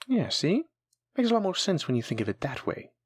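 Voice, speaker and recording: A voice actor playing a kind, patient alchemy teacher in a fantasy audio roleplay, gently encouraging and instructing a new apprentice. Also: medium pitch, big pitch swings, a little fast, breathy edge, no room sound. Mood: encouraging, teaching. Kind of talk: soft-spoken scripted fantasy audio roleplay.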